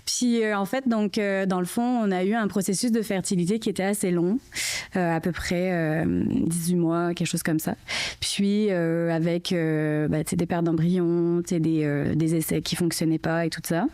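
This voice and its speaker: A woman's voice: fairly low pitch, steady tone, moderate pace, dark tone, clean tone. A woman with a French accent, a podcast guest telling her story in a chatty way, full of fillers. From France. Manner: chatty, filler-heavy, often says "tu sais". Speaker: podcast guest, mother of triplets. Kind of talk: casual interview storytelling.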